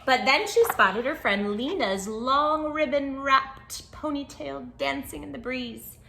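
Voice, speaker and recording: A woman's voice: high-pitched, slow, vocal fry, sharp tone, slight background noise. A woman hosting a children's storytime, reading aloud expressively with dramatic emphasis and speaking directly to young listeners. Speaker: children's book read-aloud host. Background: music.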